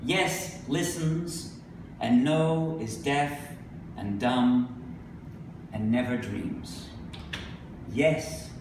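A middle-aged man giving a live poetry reading, speaking deliberately with emphatic pauses. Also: medium pitch. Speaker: poet. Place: indoor venue with a staircase, live reading. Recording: echoey room from a distance, noisy.

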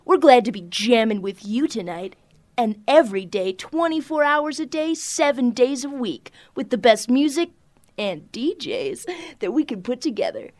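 Lady acting as perky radio dj cohost, really high voice, cheerful announcing, dry sound. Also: lively intonation, moderate pace, darkish tone, no echo, natural voice. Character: perky female radio DJ co-host. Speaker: radio DJ co-host.

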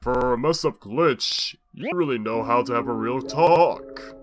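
A man's voice: medium pitch, measured pace, over music, lightly acted. A man voicing a smug, unhinged glitchy skeleton destroyer in a comic dub, with a drawling, mocking, theatrical delivery. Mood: amused, mocking.

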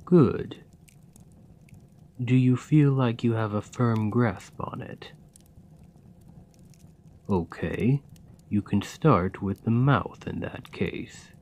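A man playing a kind, patient gravedigger in a soft, soothing, encouraging ASMR roleplay. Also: mid-range voice, very slow, long pauses. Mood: gentle encouraging. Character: kind, gentle gravedigger, patient and playfully fond. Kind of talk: ASMR roleplay, scripted comforting monologue.

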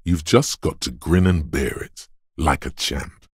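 An actor playing a grave, stern inner voice of endurance in a game, narrating bluntly and deliberately in a husky, gravelly tone. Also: male voice, a bass voice, no echo, clean studio sound, exaggerated voice. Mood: hard-bitten. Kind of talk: scripted second-person game narration.

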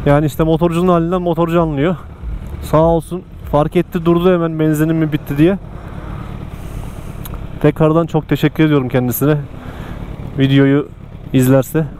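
A man's voice: on the high side, level tone, normal speed, pauses often, mellow, a little hiss or hum. A man talking casually to viewers while riding a motorcycle through city streets. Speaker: motovlogger.

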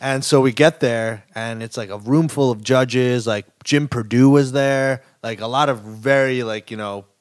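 Young guy rambling through a story on a podcast, like, lots of uhs, middle pitch. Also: normal speed, few pauses. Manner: chatty, many "like" and "uh" fillers. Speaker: kombucha company co-founder.

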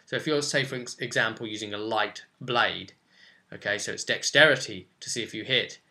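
A man's voice: on the low side, brisk, sharp tone. A man in his twenties giving an informal explanatory tutorial on tabletop roleplaying, talking to camera at home. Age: 20s. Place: small furnished room at home, indoors.

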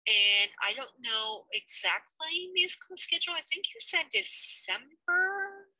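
A woman's voice: sits high, animated, unhurried, pushed and bright, no room sound, low-quality recording. A young American woman leading a fan project, chatting casually over a headset in a small room with loose fillers. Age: young adult. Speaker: project lead of a fan dub. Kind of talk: casual video call update.

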